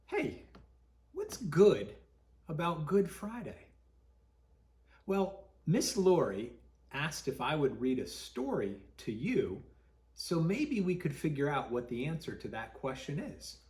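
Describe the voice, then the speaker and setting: A man reading a story to children as a pastor would, dramatic and expressive, with deliberate pauses. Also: fairly high pitch, reverberant.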